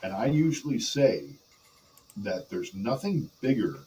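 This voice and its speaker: A man playing a big, menacing pro wrestler who delivers a dramatic, halting challenge promo. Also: fairly low pitch, sing-song, warm tone, distant mic, poor audio. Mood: menacing, challenging. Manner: dramatic, halting, calls opponent brother.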